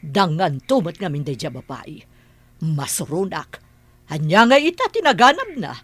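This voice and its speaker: A man voicing a distraught relative who pleads loudly with a doctor in a radio drama. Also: a little high, big pitch swings, moderate pace, dry recording, pitched above the performer's own voice. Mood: anguished. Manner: loud, anguished outbursts.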